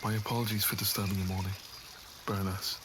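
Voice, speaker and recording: Man acting earnest, low-voiced lovesick nobleman. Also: level tone, fast, close to an everyday voice. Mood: hurt. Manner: earnest, formal phrasing. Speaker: voice actor in an audio roleplay. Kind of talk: ASMR roleplay acting. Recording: audible water and outdoor ambience noise.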